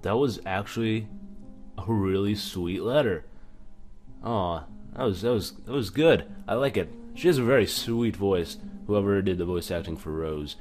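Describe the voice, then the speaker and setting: A man's voice: lowish voice, moderate pace, darkish tone. A man hosting a let's play, commenting informally and reading on-screen text aloud with some stumbles. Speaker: gaming video host. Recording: music behind the voice.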